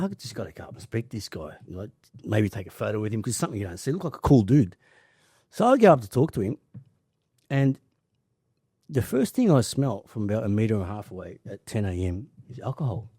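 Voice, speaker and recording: A man chatting and telling stories casually as a podcast host, blunt and sweary with an excitable edge. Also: fairly low pitch, fast talker, breathy edge, no room sound, clean studio sound. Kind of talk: casual chat, storytelling.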